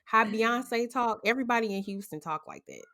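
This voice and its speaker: A woman's voice: on the high side, wildly swooping pitch, a little fast, vocal fry, sharp tone. A woman co-hosting a podcast in casual chat, blunt and slangy, laughing often. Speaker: podcast co-host.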